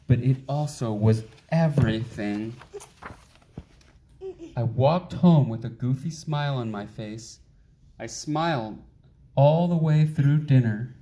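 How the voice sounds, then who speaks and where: A man voicing a wistful, self-deprecating uncool young bean in an expressive children's storybook reading. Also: medium pitch, natural voice. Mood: earnest, hopeful. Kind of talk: children's picture book read aloud.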